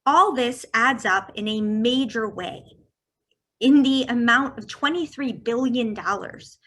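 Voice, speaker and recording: A woman from California giving a prepared talk as guest speaker, walking through figures on slides in a measured way. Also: fairly high pitch, fairly bright tone.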